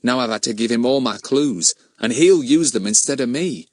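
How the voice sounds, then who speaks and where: A man's voice: mid-range voice, normal speed, dry recording, voice pushed up, close to an everyday voice. A man voicing a decent, downtrodden village police constable in a children's audiobook, plain-spoken and modest in his phrasing. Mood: aggrieved.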